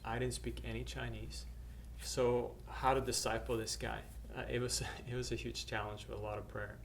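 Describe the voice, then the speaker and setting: Middle-aged male missionary from Norway, plain mid pitch, halting testimony with fillers; faint background noise. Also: flat delivery. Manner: hesitant, many uhs and restarts. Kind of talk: personal testimony to camera.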